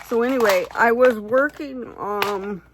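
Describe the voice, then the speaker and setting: A hoarse woman who is losing her voice, chatting casually and unhurriedly as she makes bead crafts on a vlog. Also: on the high side, measured pace, noisy background. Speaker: craft vlogger.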